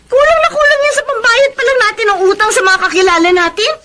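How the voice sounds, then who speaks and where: A woman's voice: very high voice, fairly even intonation, fast, heavy background noise. A woman with a Filipino accent playing a nagging, defiant wife in a radio drama. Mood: angry, scornful. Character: shrill, nagging, defiant wife quarrelling over money.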